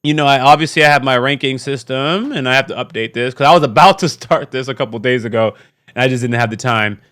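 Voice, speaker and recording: A man hosting a casual, unscripted reaction video, talking in an animated, slangy way and often repeating himself. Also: medium pitch, fast talker. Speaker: YouTube reaction host.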